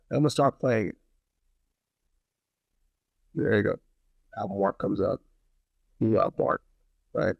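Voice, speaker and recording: A man with a low-pitched, creaky voice talks through a casual hands-on product review. His delivery is conversational, and he repeats phrases to drive points home. The sound is dry and close. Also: dry recording, lo-fi sound.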